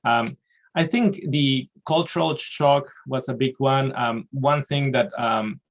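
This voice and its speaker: Panelist: man, unhurried and halting, middle pitch, over a video call. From Peru. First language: Spanish. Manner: halting and reflective, with light laughs. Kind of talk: casual panel discussion.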